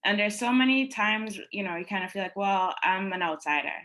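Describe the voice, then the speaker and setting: A woman's voice: medium pitch, lively intonation, a little fast, fairly bright tone. A woman from Guyana moderating a panel, enthusiastic and full of hesitant fillers.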